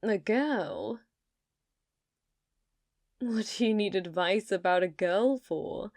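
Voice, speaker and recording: A woman's voice: on the high side, darkish tone, dry recording. An ancient, possessive yokai woman, acted by a female performer for an ASMR roleplay, speaks very unhurriedly in a dry, close sound. She is coy and dominant, teasing her listener with sweetness that carries menace, often sinking toward a whisper. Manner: slow, teasing, intimate, often dropping to a whisper.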